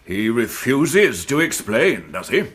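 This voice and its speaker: Blustering judge, wildly animated. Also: male voice, medium pitch, slow pace. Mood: dry, stern. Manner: blustering, imperious. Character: stern, irascible, pompous male court judge. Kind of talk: radio drama acting.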